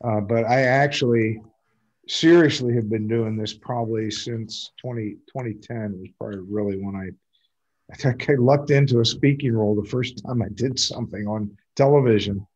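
An older American man chatting casually and self-deprecatingly on a video call, halting and rambling as he goes. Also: mid-range voice, darkish tone, husky, dull audio. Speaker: community theater actor. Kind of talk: casual chat at an online talkback. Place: indoors at home on a video call. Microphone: video call microphone.

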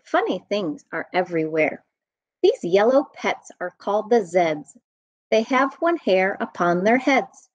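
An American woman, around her thirties or forties, reading a rhyming children's book aloud in an expressive, sing-song way. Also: medium pitch, no room sound. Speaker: children's storytime reader. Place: room at home. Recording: dull, muffled webcam audio.

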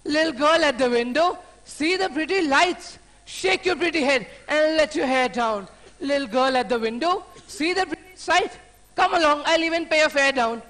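This is a cheeky young suitor, bright and very high in tone, as a man acts him out. He pleads and serenades in a musical, wheedling sing-song. He flares up quickly when crossed. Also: expressive, heavily acted. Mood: serenading. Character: cheeky young male suitor, playful, hot-tempered.

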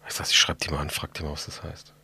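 A man's voice: extremely low pitch, flat delivery, rapid-fire, vocal fry, pushed and bright, slightly rough, in a whisper. A man co-hosting a podcast in casual, jokey, easygoing chat. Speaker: podcast co-host.

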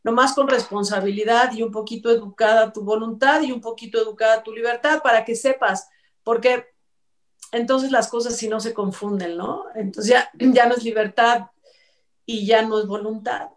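A woman's voice: fairly high pitch, steady tone, moderate pace. A middle-aged woman with a Mexican accent giving emphatic, colloquial opinions as a panelist on a video call. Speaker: talk show panelist. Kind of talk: casual opinionated panel chat. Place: bright living room at home. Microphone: computer mic on video call. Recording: distant mic on video call.

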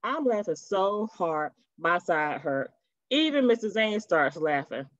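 Animated woman reading a children's storybook. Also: lowish voice, a little slow. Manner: animated, chatty asides to young listeners. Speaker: teacher reading aloud to children. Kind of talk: expressive children's storybook read-aloud. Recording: dull, lo-fi webcam audio.

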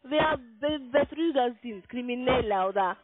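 High-pitched foreign-accented saleswoman on the phone. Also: animated, over a noisy crowd. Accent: foreign accent in German. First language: not German. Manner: halting, insistent questioning. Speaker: call center sales agent. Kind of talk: telephone sales call. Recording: narrow-band phone line.

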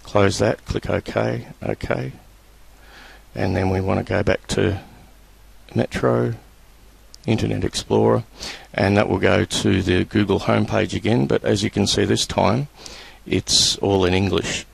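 Male tutorial presenter, husky voice, dry close-mic sound, casual and hesitant delivery. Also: lowish voice, no room sound. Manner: relaxed, halting, many fillers. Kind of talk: screen-recorded software tutorial.

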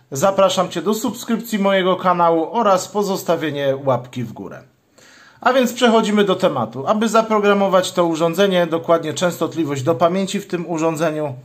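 A man hosting a step-by-step hands-on tutorial, explaining each stage in a methodical way. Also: high voice. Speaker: channel host, product demonstrator.

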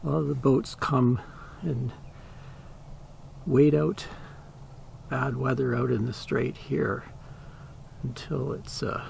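A man casually narrating a boating vlog outdoors on his boat, relaxed and chatty, sometimes dropping to a soft voice. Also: medium pitch, slow pace, creaky, pauses often, a little hiss or hum. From Canada. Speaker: boating vlogger.

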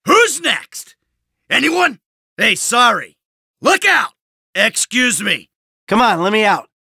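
A man's voice: very high-pitched, big pitch swings, slow pace, vocal fry, sharp tone, slightly rough, no room sound, clean studio sound, full character voice. A man playing a cynical, short-tempered middle-aged American criminal in a video game, with a blunt, sardonic tough-guy delivery. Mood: agitated.

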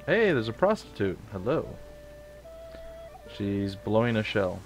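A man's voice: on the low side, sing-song, measured pace, soft background music. A man with a stuffy, sniffly nose hosting a casual game let's play, rambling with joking asides. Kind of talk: casual gameplay commentary.

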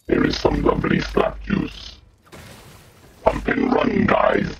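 A man voicing a menacing, gleeful demon with a guttural growl, commentating on a video game in slow theatrical phrases. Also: close to an everyday voice. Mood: gloating, playful. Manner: slow, theatrical, drawn-out phrases with evil laughs. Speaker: gamer. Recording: muffled lo-fi audio with clear noise.

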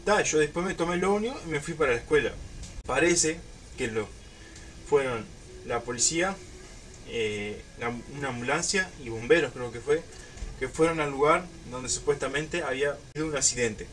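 A young man with a Uruguayan accent, telling a story casually to camera in an informal, halting way. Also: fairly high pitch, lively intonation, a bit bright, recorded from a distance, a little hiss or hum. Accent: Uruguayan Spanish. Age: young adult. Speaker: YouTube storyteller. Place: small room at home.

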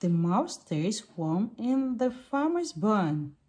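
A woman reading a picture book aloud for small children in simple, deliberate sentences. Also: mid-range voice, very animated, warm tone.

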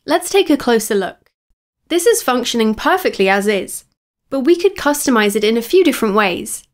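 Female tutorial narrator, somewhat high register, scripted instructional read, clear step-by-step delivery. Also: sing-song, moderate pace. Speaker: software tutorial presenter.

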